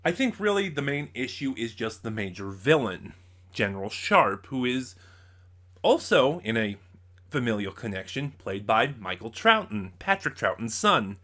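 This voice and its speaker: A man hosting a casual spoken review, thinking aloud in a halting way and often backtracking to rephrase himself. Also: mid-range voice, very animated, bright, forceful tone. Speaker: audio drama reviewer, channel host.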